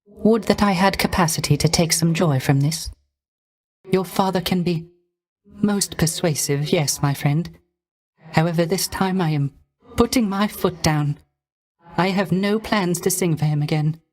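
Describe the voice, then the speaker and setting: A male actor voicing a gentle, melancholy court musician in a video game, with soft, genteel manners and formal, archaic, theatrical phrasing. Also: high-pitched, measured pace. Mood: mournful, firm. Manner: soft, genteel, wistful, ornately polite.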